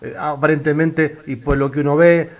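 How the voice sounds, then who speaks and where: A man's voice: fairly high pitch, flat delivery, normal speed. A man from Argentina, hosting a radio interview and talking with many fillers and restarts. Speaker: radio host.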